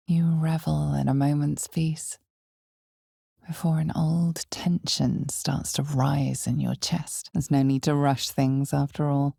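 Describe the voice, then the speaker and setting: A woman playing an ominous, all-knowing narrator of a dark fantasy video game, speaking in a measured, dramatic way with hushed intensity. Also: low-pitched, gravelly, no echo, studio quality, exaggerated voice. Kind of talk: scripted second-person fantasy game narration.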